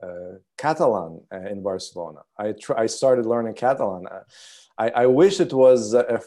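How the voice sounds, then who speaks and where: A man hosting an interview, a non-native English speaker whose first language uses the Cyrillic alphabet, asking questions in a warm, encouraging way. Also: lowish voice, lively intonation, moderate pace. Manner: warm, encouraging, laughs along. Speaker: interview host.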